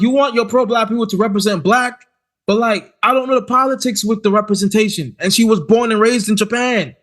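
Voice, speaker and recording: A man co-hosting a podcast, chatting casually and animatedly, often restarting his sentences. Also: high-pitched. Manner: animated, frequent restarts and stammers. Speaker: podcast co-host. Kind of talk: casual chat.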